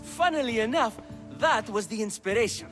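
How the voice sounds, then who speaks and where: An actor playing a proud, excitable male comic playwright of ancient Athens in a video game, theatrical and easily affronted. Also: medium pitch, moderate pace, music in the background, natural voice. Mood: amused, proud. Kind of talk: cinematic video game acting.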